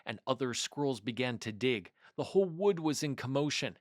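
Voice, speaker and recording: A man narrating a children's story in an expressive, deliberate storybook delivery. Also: medium pitch, dry recording, clean studio sound. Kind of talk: scripted narration, children's read-aloud.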